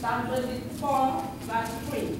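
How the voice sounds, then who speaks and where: An older woman reading a Bible passage aloud in a steady, measured way at a stand mic in a church hall. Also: fairly high pitch. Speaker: scripture reader. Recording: distant, echoey church hall with noise.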